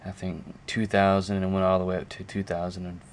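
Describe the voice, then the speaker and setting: A man giving a casual, unscripted show-and-tell to a camera, talking haltingly and thinking aloud as he goes. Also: deep voice, little pitch movement. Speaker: video creator showing a music collection. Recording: clear background noise, amateur camera audio.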